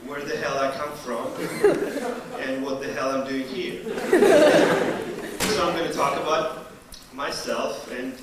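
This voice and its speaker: Slow Georgian-accented man doing echoey stand-up. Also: medium pitch, fairly even intonation. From Georgia (the country). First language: not English. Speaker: stand-up comedian, teaching assistant. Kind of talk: stand-up comedy routine. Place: live stage performance in university room. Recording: echoey room, distant mic, audience noise.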